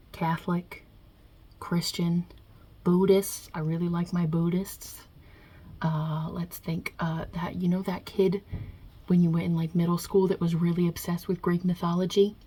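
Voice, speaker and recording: A low, husky voice from a woman acting the part of a non-binary God who runs heaven like a mob boss. The accent is a put-on Italian-American New York and Jersey one. The delivery is wry, blunt and offhand, with hesitant pauses, in a hushed ASMR roleplay. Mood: blunt, opinionated.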